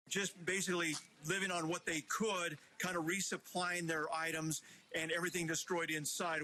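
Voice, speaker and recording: A man reporting live for TV news outdoors as he walks along a street, ad-libbing in a rambling, repetitive way over a noisy field feed. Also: high-pitched.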